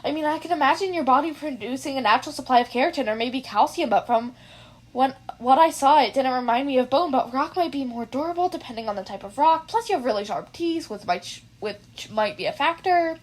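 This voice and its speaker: A woman's voice: sits high, lively intonation, a little fast. A woman voicing a nervous, eager, brainy teenage boy who rambles and apologizes in a fan fiction reading. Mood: excited rambling. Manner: rambling, apologetic, self-effacing. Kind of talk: fan fiction audiobook character voice.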